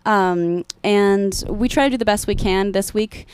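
A woman's voice: mid-range voice, measured pace, a little mellow, a little hiss or hum. A young adult woman, a student bandleader and singer, chatting casually in an interview with lots of fillers. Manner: chatty, filler-heavy, upbeat.

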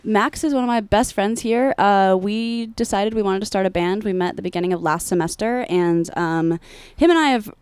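A woman's voice: medium pitch, moderate pace, a little mellow, clean tone, dry recording. A young adult woman, a student bandleader and singer, chatting casually in an interview with lots of fillers. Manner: chatty, filler-heavy, upbeat.

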